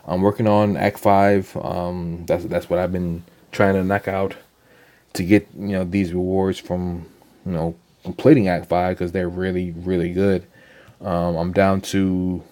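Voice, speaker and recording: Low, dark-voiced young man with a cold. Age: young adult. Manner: rambling, halting, many ums and repeated words. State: hoarse from a cold. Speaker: gaming channel host. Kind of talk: casual game account walkthrough.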